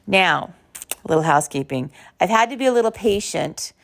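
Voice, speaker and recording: A woman speaks as the host of a personal podcast, her voice a little low and slightly hoarse. She talks informally and conversationally, leaning on key words for emphasis as she tells stories and puts questions to the listener. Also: lively intonation, normal speed.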